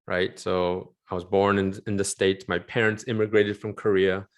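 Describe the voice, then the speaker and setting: A man in his forties from Southern California, chatting as an interview guest in a rambling, animated way and laughing often. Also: deep voice, moderate pace. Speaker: interview guest, film concept artist. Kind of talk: casual interview chat.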